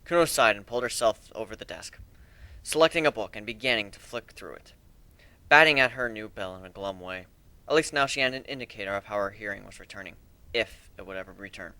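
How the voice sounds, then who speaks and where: A man reading a story aloud as a dramatic narrator, in a measured, deliberate way with expressive emphasis. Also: fairly low pitch, dry recording.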